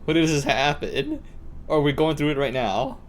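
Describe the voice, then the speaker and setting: A man's voice: on the high side, big pitch swings, normal speed, a little hiss or hum. A young man doing casual live gameplay commentary as a streamer, rambling to himself in slangy speech. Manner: slangy, self-talking, rambling. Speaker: game streamer. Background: game music.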